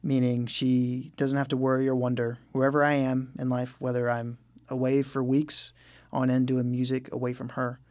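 A man's voice: mid-range voice, completely flat delivery, moderate pace, a little mellow. A man speaking as a musician interview guest, reflective and earnest in a conversational style.

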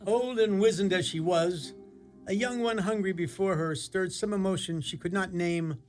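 A man's voice: high voice, moderate pace, darkish tone, soft background music, lightly acted. A man narrating a fairy-tale radio play in an ornate, dramatic, theatrical style. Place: radio studio.

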